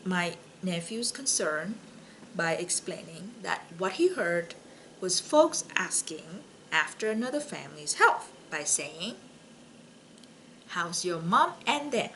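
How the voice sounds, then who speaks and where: Slow, bright-voiced woman telling jokes casually. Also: fairly low pitch, sing-song, slight background noise, rough recording. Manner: halting, chatty, with false starts and slips. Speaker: library story and joke reader. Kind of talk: casual read-aloud of jokes with personal chat.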